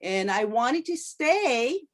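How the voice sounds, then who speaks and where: A woman's voice: a little high, very animated, takes it slowly. A middle-aged woman from Japan with a Japanese accent, a native Japanese speaker, chatting enthusiastically as an interview guest with hesitant phrasing. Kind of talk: casual conversational interview.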